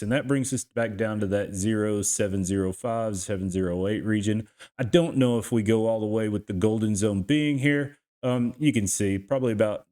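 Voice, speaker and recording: A man's voice: medium pitch, darkish tone. The Texan man you'd hear on an online trading channel, chatting folksily through market charts like a neighbor over the fence. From Texas, USA. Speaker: host of a crypto trading channel. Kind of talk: casual market chart commentary.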